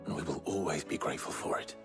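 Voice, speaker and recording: Game hero: stoic warrior man, extremely low pitch, terse, earnest, faint score underneath. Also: fast, music in the background. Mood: grave, earnest. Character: brooding, earnest warrior leader, stoic but kind. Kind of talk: dramatic video game acting.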